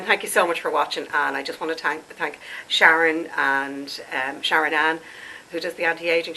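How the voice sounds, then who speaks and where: Woman, late forties, deep but sharp-edged tone, congested, chatty rambling vlogger. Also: rough recording. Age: late 40s. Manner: chatty, rambling, laughs at herself. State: congested, stuffed up. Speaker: skincare vlogger. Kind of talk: casual chat to camera.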